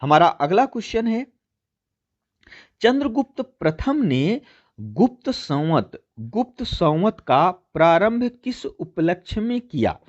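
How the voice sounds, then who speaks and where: A man's voice: high-pitched, sing-song, slow, dull audio. A man teaching an exam-prep lesson, addressing listeners as friends and repeating key points as he explains. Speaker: teacher hosting a history quiz channel.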